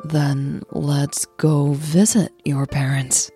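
A woman's voice: little pitch movement, slow pace, dark tone, faint music behind. A woman voicing an anxious, earnest, slightly older girlfriend in a romance audio drama, speaking hesitantly. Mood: earnest, awkward. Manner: hesitant, earnest, low-key.

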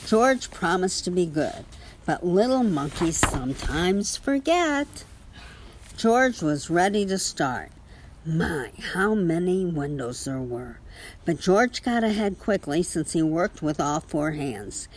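A woman reading a children's picture book aloud in an expressive storytelling manner. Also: fairly low pitch, slow pace. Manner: expressive storytelling with small spoken asides. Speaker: story reader.

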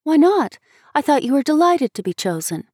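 A woman's voice: fairly high pitch, big pitch swings, normal speed, a little mellow, no room sound, pitched above the performer's own voice. A poised, teasing schoolgirl voiced by a woman, speaking with arch disbelief and strong emphasis. It is a storybook character, recorded very dry with no room around the voice. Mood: astonished.

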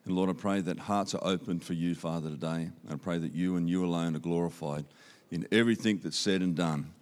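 A man's voice: low voice, steady tone, a little fast. A man with an Australian accent giving a church sermon as a guest speaker, upbeat and conversational, repeating key phrases for emphasis. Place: church auditorium. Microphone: handheld microphone.

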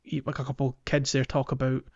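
A man's voice: medium pitch, monotone, fairly quick, creaky, no room sound, lo-fi sound. A man co-hosting a podcast, chatting casually about a game in a hesitant, meandering way. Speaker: podcast host. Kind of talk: casual game playthrough chat.

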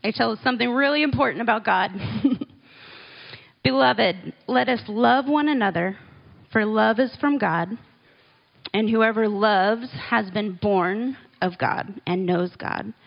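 A woman giving a relaxed, conversational Bible teaching, with small laughs and asides as she explains scripture. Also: a little high, measured pace, creaky, lo-fi sound. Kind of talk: relaxed church teaching talk.

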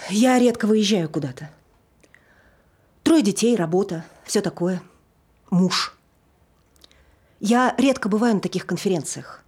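An actress playing a tipsy, nervous married woman on stage, talking in an awkward, self-justifying way with a husky voice. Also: medium pitch, lively intonation, a little slow, long pauses, darkish tone. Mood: awkward chatter. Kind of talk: naturalistic stage acting, monologue.

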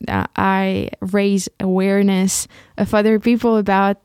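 A woman's voice: medium pitch, little pitch movement, a little mellow, clean tone, no room sound. Young ukrainian woman, accented english, solo podcast chat, lots of stops and restarts, dry close sound. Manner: halting, with many restarts and repeated words. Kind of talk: casual solo podcast monologue.

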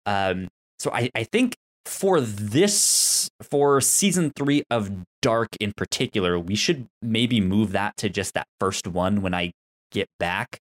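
A man co-hosting a podcast in casual chat, halting as he talks and often stammering and repeating syllables. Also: lowish voice, animated. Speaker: podcast co-host.

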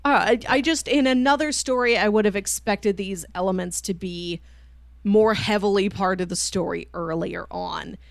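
A woman with a medium-pitched voice talks as a podcast co-host in a casual discussion. Her delivery is reflective and conversational, and the sound is dry, with no room around it. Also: moderate pace, vocal fry, dry recording. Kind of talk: casual book discussion chat.

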